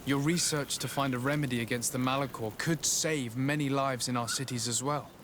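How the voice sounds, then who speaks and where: An actor playing a courteous noble envoy in a fantasy game, speaking in a measured, formal way. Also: male voice, mid-range voice, steady tone, fairly bright tone, a little hiss or hum, close to an everyday voice. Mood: composed. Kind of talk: scripted game cutscene acting.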